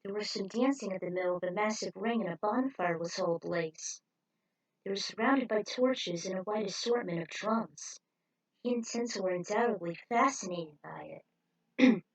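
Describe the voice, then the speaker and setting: A woman's voice: mid-range voice, normal speed, low-quality recording. Woman narrating a story. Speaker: narrator and writer of fan fiction. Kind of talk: scripted narration, reading a story aloud.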